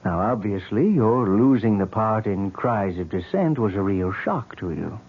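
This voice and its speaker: An actor playing a calm, probing psychiatrist in a 1970s radio drama, measured and clinical. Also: male voice, fairly low pitch, breathy edge, heavily acted. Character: calm, probing male psychiatrist, distinguished and patient. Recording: thin, muffled old radio broadcast.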